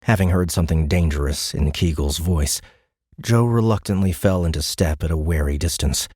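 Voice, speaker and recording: A man's voice: deep voice, normal speed, darkish tone, husky, no room sound, clean studio sound. A man reading scripted science fiction narration for an audiobook in a dramatic storytelling style.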